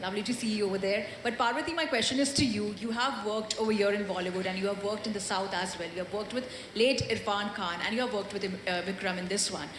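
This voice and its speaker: A woman reporter puts a brisk, friendly question to the stage. Her voice rings through a large hall with a long, roomy reverb, and a faint murmur of the room sits underneath. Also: medium pitch, fairly bright tone, a little hiss or hum. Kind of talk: press conference question. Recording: echoey hall from a distance.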